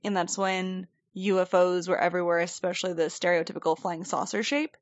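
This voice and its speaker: A woman's voice: on the low side, steady tone, brisk, dry recording, dull audio. A woman co-hosting a podcast, telling a story in a chatty, rambling way and laughing often. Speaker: podcast co-host. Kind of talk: casual storytelling chat. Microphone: desk microphone.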